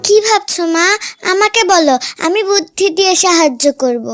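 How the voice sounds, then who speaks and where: A woman's voice: extremely high pitch, lively intonation, moderate pace. A woman voicing a clever, cheerful little animal daughter in a children's cartoon, eager and reassuring. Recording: music under the voice.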